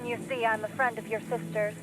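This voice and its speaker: A woman's voice: fairly high pitch, flat delivery, brisk, lightly acted, poor audio. An actress playing an earnest, insistent woman journalist in a video game, polite but urgent and worried. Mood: polite, anxious. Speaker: supporting character in a video game. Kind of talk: scripted game voice acting.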